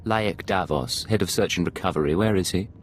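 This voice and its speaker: An actor playing a cool, defiant intelligence agent in a video game, terse and confrontational. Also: male voice, sits low, a little fast, slight background noise. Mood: cool authority. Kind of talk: dramatic video game voice acting.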